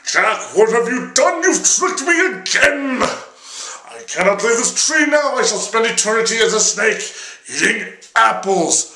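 A man voicing a theatrical, petulant male devil in a told folk tale, pitching his voice up high and grand. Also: big pitch swings, unhurried, pushed and bright, echoey room, exaggerated voice, poor audio. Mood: whiny.

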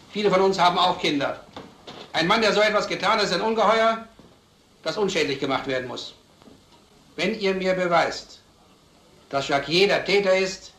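Measured veteran detective, lightish voice. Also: male voice, high-pitched, a bit bright. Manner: measured, dry, authoritative. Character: calm, shrewd veteran police detective. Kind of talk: mid-century German film drama acting. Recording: roomy old soundtrack, some noise.

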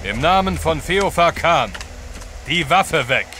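An actor playing a menacing Tatar warrior in an audio drama, commanding and threatening. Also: male voice, fairly high pitch, lively intonation, slow pace, noisy background. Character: menacing Tatar warrior barking orders at captives.

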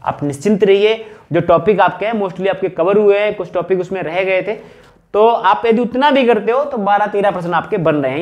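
A man in his thirties from northern India, an exam coaching teacher explaining things instructively and emphatically, straight to his students. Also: high-pitched. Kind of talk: explanatory exam-prep lesson at a whiteboard. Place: small indoor room with whiteboard.

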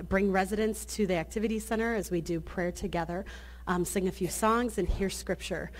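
A woman's voice: mid-range voice, a little mellow. A woman pastor in her thirties speaks at a church lectern, inviting the congregation along in a friendly, plain voice. She starts over often as she talks, and the lectern microphone picks her up in the sanctuary. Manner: friendly, inviting, with many restarts. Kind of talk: church announcements and benediction.

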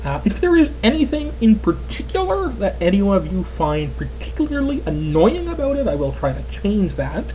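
A man's voice: high-pitched, huge pitch swings, moderate pace, hardly any pauses, dark tone, slight background noise, rough recording. A Canadian man in his twenties giving casual, rambling gameplay commentary as a let's player. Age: 20s. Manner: chatty, rambling, lots of fillers.

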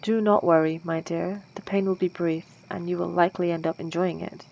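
A woman voicing a refined, possessive female vampire centuries old, speaking in a formal, old-fashioned way in a soft, intimate ASMR roleplay. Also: on the low side, fairly even intonation, fairly quick, creaky, muffled recording. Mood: courteous, eager.

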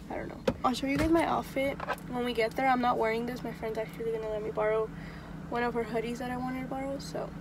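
A woman's voice: fairly high pitch, background noise. A young woman vlogging casually to a phone camera from her car, stuffy-nosed, chatting with lots of fillers. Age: young adult. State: stuffy nose. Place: inside a parked car. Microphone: phone camera on dashboard.

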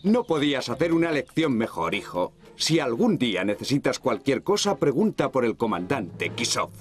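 Male actor as genial commanding officer, animated delivery, soft score under. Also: medium pitch, moderate pace, natural voice. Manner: courteous, commanding, praising. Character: dignified middle-aged army commander, genial. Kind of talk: Spanish cartoon dub. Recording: cartoon with music behind.

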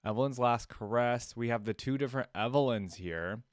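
A man's voice: medium pitch, a little fast, dry recording. Young man reacting, dry close sound. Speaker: reaction video host. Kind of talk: casual reaction commentary, sometimes reading cards aloud.

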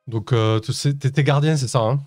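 A man's voice: mid-range voice, normal speed, a little mellow, no room sound, faint music behind. A man narrating as game master of a tabletop game, hesitant, with many fillers and restarts.

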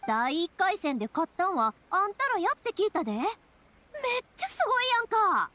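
A woman's voice: very high-pitched, big pitch swings, fairly quick, sharp tone, exaggerated voice. A woman voicing a cheerful, confident young heroine with a Kansai accent in an anime game. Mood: excited, friendly. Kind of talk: Japanese anime game voice acting. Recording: background music behind dialogue.

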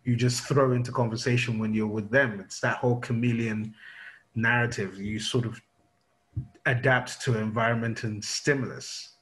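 A man's voice: mid-range voice, fairly even intonation, normal speed, fairly bright tone, low-quality recording. Rambling London man on a video call. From United Kingdom. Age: 50s. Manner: rambling, many fillers and restarts. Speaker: interviewer. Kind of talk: casual video call interview. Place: indoor room at home. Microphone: video call webcam.

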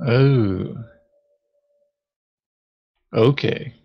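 A man's voice: mid-range voice, drawn-out words, vocal fry. A man playing a board game, chatting casually with droll, joking asides. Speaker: tabletop game player. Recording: dull, lo-fi microphone sound.

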